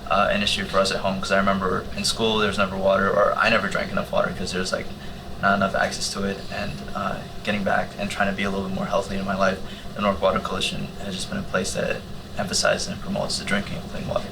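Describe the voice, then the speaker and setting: A man's voice: low-pitched, monotone, quick pace, vocal fry, noisy background. A twenty-one-year-old man, answering earnestly and hesitantly in a casual panel. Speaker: youth volunteer organizer.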